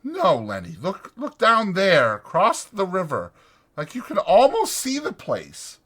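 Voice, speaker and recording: A man voicing a weary, protective ranch hand who talks in a halting, soothing, anguished way in a dramatized audiobook. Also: high-pitched, wildly swooping pitch, a bit bright, breathy edge, pitched above the performer's own voice, heavily acted. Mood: gentle, strained.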